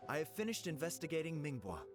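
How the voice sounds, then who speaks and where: Animated, earnest young investigator. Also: male voice, a little high, fast. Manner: formal, earnest reporting. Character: earnest, easily irked young male investigator, dutiful underling. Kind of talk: video game character dialogue. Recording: game music behind.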